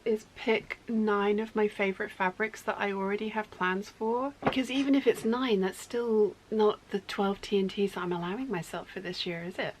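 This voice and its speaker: A woman chatting casually in her sewing studio, rambling and correcting herself, her voice going hoarse. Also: medium pitch, slight background noise. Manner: rambling, self-correcting, talkative. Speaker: sewing vlogger.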